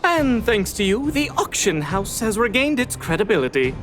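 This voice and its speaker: A woman's voice: medium pitch, big pitch swings, normal speed, fairly bright tone, soft background music. A woman voicing a polite, gracious auction house attendant in a video game, formal and courteous. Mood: delighted, grateful. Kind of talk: animated video game voice acting.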